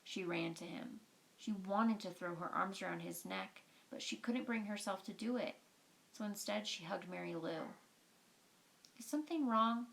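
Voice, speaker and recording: A woman's voice: mid-range voice, fairly even intonation, normal speed, gravelly. A woman reading a storybook aloud as a storyteller, explaining things in simple, informal words.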